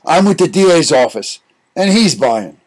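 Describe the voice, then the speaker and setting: Excitable, animated wisecracker. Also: male voice, a little high, normal speed. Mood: wry. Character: excitable wisecracking district attorney's man, adult. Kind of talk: old-time radio drama acting.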